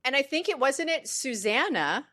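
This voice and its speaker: A woman's voice: high voice, sing-song, normal speed, fairly bright tone. A woman co-hosting a podcast in casual chat, giggly and effusive. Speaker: podcast co-host.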